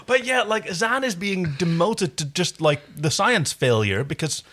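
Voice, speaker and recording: A man's voice: high voice, lively intonation, measured pace, fairly bright tone. Casual male podcast co-host. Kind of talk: casual chat.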